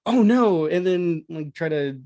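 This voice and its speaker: Male podcast guest, conversational and somewhat halting explainer, recorded dry. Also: on the high side, expressive, mellow, no echo. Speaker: podcast guest, audio drama producer. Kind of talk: casual explanatory chat.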